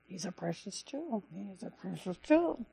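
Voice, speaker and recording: A woman missionary giving an earnest, pleading talk to a church congregation, with a loud voice. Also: medium pitch, very animated, normal speed, gravelly, husky. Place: church service.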